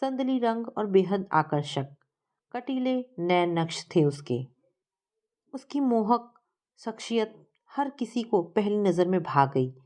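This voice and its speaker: A woman's voice: mid-range voice, very animated, slow, a little mellow. A woman narrating a scripted story as a storyteller.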